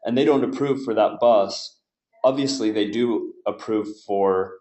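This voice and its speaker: A man hosting a webinar, asking questions in a hesitant way with frequent pauses and restarts. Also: on the low side, dark tone, reverberant. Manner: hesitant, many fillers and restarts. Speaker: webinar host. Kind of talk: webinar moderation.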